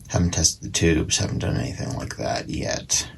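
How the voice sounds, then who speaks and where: A man's voice: rumbling low, normal speed, vocal fry, a little hiss or hum. A man giving casual, unscripted commentary as he does a repair, half talking to himself as he works. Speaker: antique radio repairer, video host.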